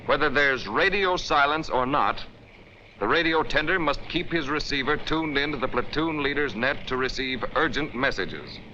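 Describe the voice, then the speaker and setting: Sharp, forceful 1940s American training film narrator, male. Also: medium pitch, lively intonation, moderate pace, a little hiss or hum. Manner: emphatic, instructional, clipped directives. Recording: dull, band-limited 1940s film soundtrack.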